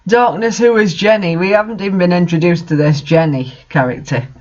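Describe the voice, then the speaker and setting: High-pitched man reading, in dull lo-fi sound. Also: a little mellow. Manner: incredulous, exasperated asides. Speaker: reader and commentator of bad fan fiction. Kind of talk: dramatic reading with casual mocking commentary. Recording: dull lo-fi recording.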